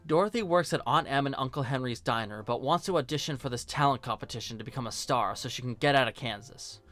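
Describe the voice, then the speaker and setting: A man's voice: medium pitch, fast talker, a bit bright, faint music behind. A man narrating a scripted film review in a casual, joking, sarcastic and colloquial way. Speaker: video host and film reviewer.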